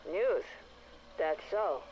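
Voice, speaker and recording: A woman's voice: on the low side, animated, very slow, darkish tone, a little hiss or hum, poor audio. Blunt, no-nonsense tough woman. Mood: dry, unimpressed. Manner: dry, unimpressed, threatening. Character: blunt, menacing, foul-mouthed female co-worker. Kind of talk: acted game dialogue.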